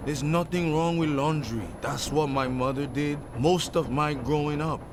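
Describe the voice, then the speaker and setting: With clear traffic noise around him, an actor plays a streetwise musician in a radio comedy drama. He coaxes and encourages like a fond old friend. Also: a little high, moderate pace, natural voice. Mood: consoling. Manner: coaxing, affectionate.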